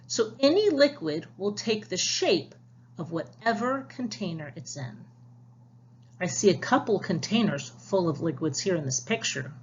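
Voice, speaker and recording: Slow-paced, animated woman teacher reading aloud. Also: mid-range voice, lo-fi sound, slight background noise. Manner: instructive, addresses children directly with questions and prompts. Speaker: teacher reading a picture book aloud to young pupils. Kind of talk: read-aloud science lesson.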